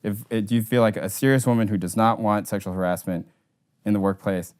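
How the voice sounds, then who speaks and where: A man's voice: lowish voice, fairly even intonation, a little fast, a little mellow. A man working as an interviewer, putting questions to someone in conversation.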